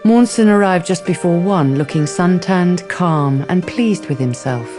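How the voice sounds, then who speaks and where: A woman's voice: on the low side, unhurried, over music. A woman narrating a radio drama from a script.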